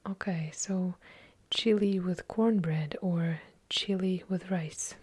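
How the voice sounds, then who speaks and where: Slow breathy whispering Eastern European woman. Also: fairly low pitch, fairly even intonation. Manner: soft unvoiced whispering, unhurried, chatty with small self-corrections. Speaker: ASMR creator answering viewer questions. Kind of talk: whispered ASMR Q&A.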